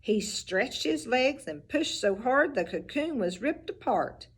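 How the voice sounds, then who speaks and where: A teacher reading a picture book aloud, with expressive storybook delivery and little asides about the pictures. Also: female voice, sits high, measured pace, husky.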